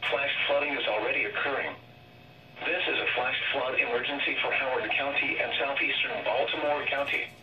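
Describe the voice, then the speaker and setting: A synthetic man's voice reads a scripted emergency weather alert in a flat, formal, measured way through a weather radio speaker. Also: mid-range voice. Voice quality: synthetic text-to-speech voice. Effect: heard through a weather radio speaker. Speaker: automated weather radio announcer. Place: weather radios playing on a table in a small room. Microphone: camera picking up radio speakers. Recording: roomy, distant, noisy radio playback.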